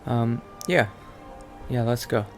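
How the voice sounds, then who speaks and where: Halting, muttering male vampire adventurer, played by a man. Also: mid-range voice, faint music behind. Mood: musing, polite. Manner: halting, muttering, repeats himself. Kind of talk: improvised video game roleplay.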